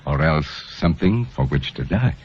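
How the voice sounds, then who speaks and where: A man's voice: rumbling low, expressive, moderate pace, slightly rough, exaggerated voice. An actor playing a kindly, teasing older male dean in a 1940s radio drama, with a genial, chuckling manner. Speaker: dean and counselor. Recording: dull lo-fi 1940s radio recording.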